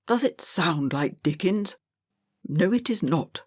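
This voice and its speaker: A woman's voice: lowish voice, expressive, slow, no room sound. A woman reading an audiobook, voicing a stern, impatient elderly male schoolmaster who barks orders at his class. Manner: barking, scolding commands.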